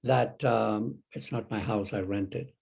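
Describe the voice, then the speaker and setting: Older Chilean man, halting and rambling. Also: on the low side, fairly even intonation, gravelly, darkish tone, breathy edge. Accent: Chilean Spanish. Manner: rambling, halting, many restarts and fillers. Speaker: Zen teacher, guest. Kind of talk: casual interview conversation.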